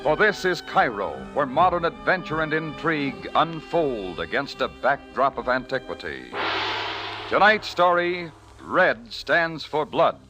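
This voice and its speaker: American male announcer, slightly high pitch, formal, dramatic, scripted golden-age radio style, prominent music. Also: very animated. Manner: formal, dramatic, measured phrasing. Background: very loud theme music.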